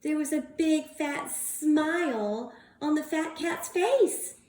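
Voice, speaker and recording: High-voiced woman reading aloud to children. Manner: animated, drawn-out delivery, prompting young listeners. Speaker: teacher reading a picture book aloud to young children. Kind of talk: expressive storybook read-aloud with questions to young children. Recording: roomy, echoing indoor sound.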